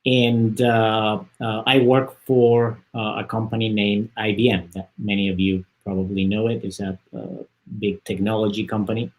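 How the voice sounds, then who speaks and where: A man from southern Spain speaks as a guest on a panel video call. His voice sits fairly low. He talks fluently, though he often restarts and fills pauses as he explains. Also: little pitch movement, rough recording. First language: Spanish. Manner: fluent, many fillers and restarts. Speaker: panel guest, technology executive. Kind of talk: conversational panel talk.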